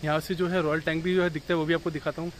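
Fast-talking man vlogging outdoors. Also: fairly high pitch, little pitch movement, slight background noise. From India. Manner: chatty, mixes English words into Hindi. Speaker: travel vlogger. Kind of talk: walking tour vlog. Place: outdoors at an old fort and town streets. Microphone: phone camera held at arm's length.

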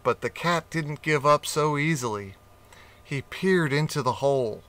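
A man reading a children's animal story aloud in a slow, gentle, expressive way. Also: on the high side. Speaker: audiobook reader.

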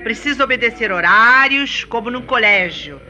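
Emotive, bright Brazilian bride. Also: female voice, on the high side, expressive, moderate pace, lo-fi sound, faint music behind. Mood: apologetic, exasperated. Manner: emotive, pleading. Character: anxious, affectionate bride hosting her engagement party. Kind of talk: radio soap opera acting.

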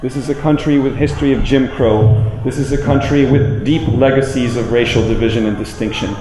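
An American man in his forties giving a public lecture in an emphatic, deliberate manner, repeating phrases for weight. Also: medium pitch. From United States. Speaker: public speaker. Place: indoor room with plain walls, speaking to an audience. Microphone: handheld microphone, later a small clip-on mic. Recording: roomy echo, clear background noise.

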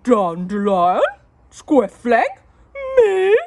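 A woman voicing a pompous, blustering middle-aged husband in a storybook, loud and outraged. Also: mid-range voice, big pitch swings, drawn-out words, full character voice. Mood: aghast.

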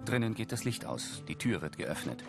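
A man's voice: on the low side, very animated, moderate pace, creaky, over music. A man reading scripted audio description of a film in a factual manner. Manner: factual, present-tense delivery. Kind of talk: scripted scene narration.

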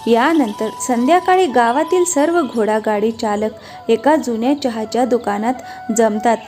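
A woman's voice: on the high side, moderate pace, a little mellow. A woman reading scripted narration for a cartoon moral story. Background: light background music.

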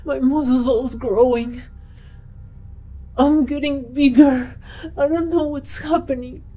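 A young woman acting a scientist who is turning into a monster, reporting her symptoms in a halting, anxious way in a small room. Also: high-pitched, fairly even intonation, warm tone. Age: young adult. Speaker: lead actress in a web series. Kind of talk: amateur web drama acting.

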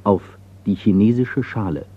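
A man's voice: fairly low pitch, moderate pace, slight background noise. A man announcing a radio crime play in a scripted introduction. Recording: dull radio recording. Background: music.

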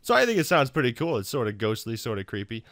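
A man hosting a casual software tutorial in an informal, self-deprecating, hesitant way. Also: mid-range voice, very animated, quick pace, dry recording. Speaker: tutorial host.

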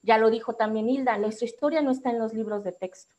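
A woman with a Mexican accent speaking as an activist on a discussion panel, delivering a prepared formal talk in a measured, articulate way. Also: on the high side, a little mellow.

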